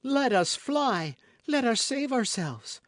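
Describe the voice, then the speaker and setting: A man reading an audiobook as an anxious, guarded young gentlewoman who speaks in a formal, fretful, pleading way. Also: medium pitch, lively intonation, normal speed, no echo, studio quality, voice pushed up, lightly acted. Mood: frightened.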